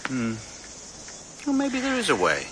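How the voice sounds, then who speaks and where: A man's voice: sits high, wildly swooping pitch, a little slow, background noise, heavily acted. An actor in a radio drama playing a charming, breezy husband who coaxes his wife. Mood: coaxing. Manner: breezy, coaxing.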